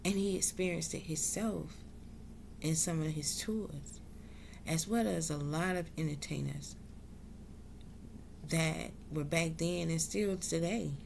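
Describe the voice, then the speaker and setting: Woman vlogger, low gravelly voice, unhurried and reflective. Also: darkish tone, a little hiss or hum. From South Carolina, US. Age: adult. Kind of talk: slow reflective monologue.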